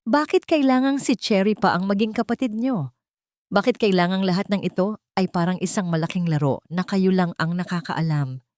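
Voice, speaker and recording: A woman's voice: medium pitch, moderate pace, darkish tone, dry recording, natural voice. A woman acts out a young office employee in a romance audio drama. She is guarded and wounded, speaking with bitterness in a dry, close recording. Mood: bitter, hurt.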